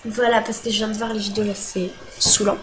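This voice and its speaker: A woman's voice: medium pitch, little pitch movement, quick pace, fairly bright tone, a little hiss or hum, low-quality recording. A young woman venting to camera about an online dispute, agitated and full of fillers and restarts, with a cold. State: has a cold. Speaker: vlogger.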